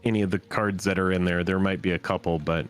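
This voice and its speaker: A man's voice: deep voice, fairly even intonation, fast, smooth, faint music behind. A young man co-hosting a card game live stream, easygoing and dry, playing along with jokes. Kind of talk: casual live-stream chat.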